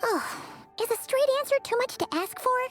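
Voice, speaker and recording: A woman voicing a tiny female fairy companion in a video game, with a squeaky childlike voice and an excitable, blunt manner. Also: fairly quick, faint music behind. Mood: exasperated.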